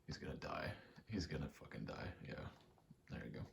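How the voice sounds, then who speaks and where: A man giving a rambling ASMR gaming commentary, halting and meandering as he thinks aloud while playing. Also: slight background noise. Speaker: gaming video creator.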